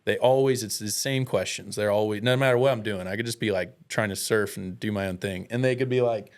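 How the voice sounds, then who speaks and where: A man's voice: lowish voice, fairly even intonation, brisk. An American man talking as a guest in a casual, candid way, telling personal stories with earnest, self-deprecating, slangy speech. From United States.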